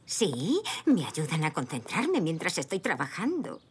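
A woman's voice: low voice, huge pitch swings, a little slow, faint music behind, exaggerated voice. A woman voicing a polite, curious lady zebra customer in a children's cartoon. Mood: pleased.

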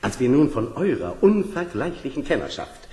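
A man plays an obsequious fairy-tale trickster, flattering in lively swoops. His voice rings in a reverberant hall, as in a radio play. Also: mid-range voice, moderate pace, lightly acted. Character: sly, flattering male swindler posing as weaver.

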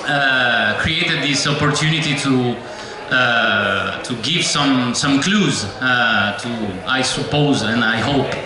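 A middle-aged man from Italy with an Italian accent, moderating a panel and introducing it slowly with many hesitations, on stage in a large hall. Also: medium pitch, background noise. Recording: echoey hall from far off with crowd.

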